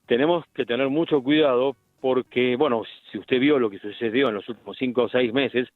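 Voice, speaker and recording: Argentine doctor on a tinny phone line. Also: male voice, medium pitch, fairly even intonation, no echo, soft background music. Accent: Argentine Spanish. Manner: deliberate, explanatory, frequent restarts. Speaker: medical specialist guest. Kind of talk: explanatory radio interview by phone.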